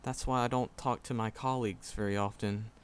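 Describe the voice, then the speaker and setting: A man's voice: on the low side, fairly even intonation, brisk, a little hiss or hum, lightly acted. A man playing a shy, nervous magic professor in a roleplay audio drama, skittish and earnest, stammering and repeating words as he talks to the listener. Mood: bashful, sincere.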